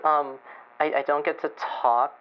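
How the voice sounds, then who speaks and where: Woman playing a low-voiced, hesitant lonely farmer. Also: fairly even intonation, lightly acted. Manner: hesitant, halting, nervous chuckles. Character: lonely, gentle woman chicken farmer phoning late-night radio. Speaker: caller. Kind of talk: improvised radio call-in. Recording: muffled lo-fi radio call.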